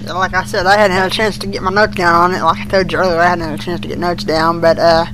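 A man co-hosting a casual fan podcast in a low-key, noncommittal, folksy way. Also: little pitch movement, quick pace, music in the background, low-quality recording. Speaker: podcast co-host. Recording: amateur podcast with humming noise.

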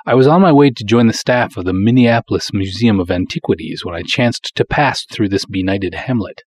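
A man's voice: fairly low pitch, a little fast, darkish tone, slightly rough. A man reading the part of a short, squat, formal and pompous doctor in a story. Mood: indignant.

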